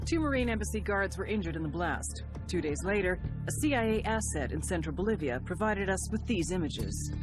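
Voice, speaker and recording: An actress playing a resolute female intelligence officer, narrating a grim, measured mission briefing in a video game. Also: on the low side. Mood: grave, factual.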